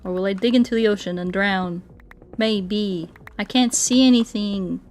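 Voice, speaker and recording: A woman streamer talks casually while she plays, at a medium pitch. She rambles playfully and thinks aloud into a close mic, with music faintly behind her voice. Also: normal speed, a little mellow. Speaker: streamer playing Minecraft and chatting with viewers. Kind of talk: casual gaming livestream chat.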